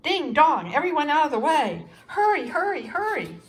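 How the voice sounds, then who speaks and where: A woman voicing excited, alarmed male sailors and firemen in a children's story, urgent and exclaiming. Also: high-pitched, normal speed, distant mic, pitched above the performer's own voice. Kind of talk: children's story read aloud.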